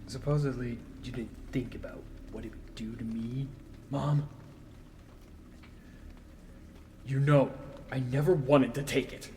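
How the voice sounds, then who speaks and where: Guy playing a hurt bitter son laying into his mom, audio drama, slow and halting, mid pitch, bit of noise. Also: little pitch movement, darkish tone, natural voice. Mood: bitter, accusing. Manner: accusing, halting, emphatic. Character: wounded, bitter son confronting his mother. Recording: faint background noise.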